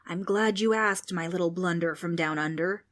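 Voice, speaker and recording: A woman's voice: lowish voice, normal speed, a bit bright, natural voice. A woman voicing a grumpy, pompous old male ghost who lectures a group of children. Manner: bossy, irritable, grandly spooky.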